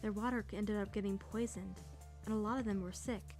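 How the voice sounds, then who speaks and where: A woman's voice: medium pitch, steady tone, brisk, darkish tone, natural voice. A woman playing a sarcastic, easily flustered teenage girl trainee in a visual novel dub, narrating her thoughts and stammering when nervous. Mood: earnest. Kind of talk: first-person visual novel narration and dialogue. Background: music.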